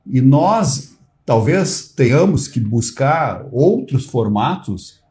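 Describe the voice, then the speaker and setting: Guy on a talk show, lots of uhs and restarts, pitch jumps all over, mellow warm tone. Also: very animated, slow pace, slight background noise. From Brazil. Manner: hesitant with many fillers and restarts, growing emphatic. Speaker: society treasurer, guest. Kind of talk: explanatory talk-show chat.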